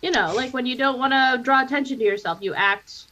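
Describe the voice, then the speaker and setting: A woman chats casually as a player in a tabletop roleplaying game. Her voice is rather high, with a sharp, bright tone. She talks things through informally with the others. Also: fairly quick, dull audio. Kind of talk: casual out-of-character table chat.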